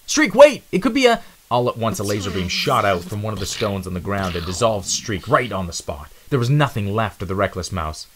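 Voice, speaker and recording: A man's voice: lowish voice, a little fast, few pauses, fairly bright tone, slight background noise. A man giving a dramatic narration of an adventure storybook.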